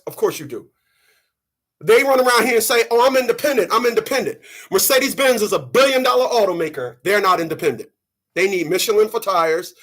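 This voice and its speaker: High bright husky-voiced man in his thirties. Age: 30s. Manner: animated, preachy, mocking quoted voices. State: sweaty after a run. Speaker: channel host. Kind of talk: casual monologue to camera.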